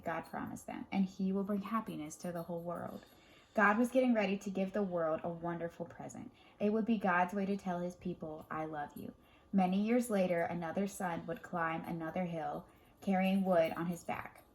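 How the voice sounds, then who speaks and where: A woman reading a children's Bible story aloud as a storyteller, dramatic in delivery, moving between emphatic and hushed phrasing. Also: lowish voice, rough recording.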